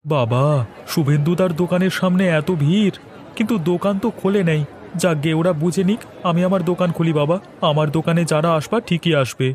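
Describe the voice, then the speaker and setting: A man's voice: on the high side, a little fast, darkish tone, a little hiss or hum, lightly acted. A man voicing a mild, put-upon young village shopkeeper husband in a cartoon, with a placating, plaintive manner. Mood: mildly puzzled. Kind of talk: Bengali cartoon voice acting. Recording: music behind the voice.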